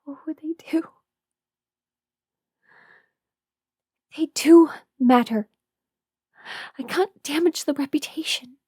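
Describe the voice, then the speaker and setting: A high-pitched, slow-speaking young queen played by a woman in a historical audio drama. She is proud yet trapped and distraught, with halting, emotional phrasing. The recording is close and dry. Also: mellow, breathy edge, no echo, full character voice. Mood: hushed, torn. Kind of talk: historical romance audio roleplay, dramatic monologue.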